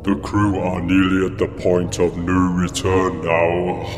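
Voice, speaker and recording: Man voicing a slow, echoing all-powerful alien. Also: low voice, little pitch movement. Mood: menacing, intrigued. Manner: slow, grandiose, taunting. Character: bored, lonely all-powerful alien being posing as creator. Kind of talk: comedy radio play acting. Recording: echoing reverb hall with music underneath.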